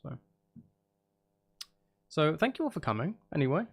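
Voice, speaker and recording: A man's voice: fairly high pitch, sing-song, moderate pace, gravelly, slightly rough. A man, talking casually on a livestream, rambling and thinking aloud as he goes. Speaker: live streamer. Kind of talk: casual livestream chat while making music.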